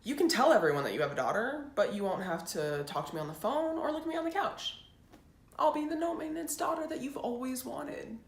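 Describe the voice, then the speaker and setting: A woman's voice: sits high, expressive, fairly quick, vocal fry, pitched above the performer's own voice. Woman as an intense, bitter teenage daughter, echoey room. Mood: hurt, resolute. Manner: intense, resentful, emphatic. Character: bitter eighteen-year-old daughter, determined to leave home. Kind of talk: dramatic stage monologue. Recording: roomy echo, faint background noise.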